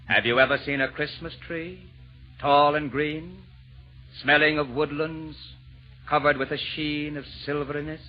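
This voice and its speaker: An actor playing a jolly, eloquent Santa Claus, declaiming rhymed verse grandly in 1940s radio drama style. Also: male voice, fairly high pitch, steady tone, measured pace, faint music behind, close to an everyday voice. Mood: lyrical, rapturous. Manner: grand, declamatory rhyming delivery. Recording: dull vintage 1940s radio recording.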